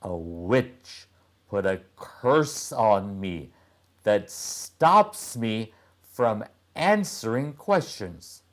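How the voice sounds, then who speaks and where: A man's voice: lowish voice, expressive, drawn-out words, a bit bright, full character voice. A man voicing a mysterious, smiling young princess in a children's storybook read-aloud. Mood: pleasant.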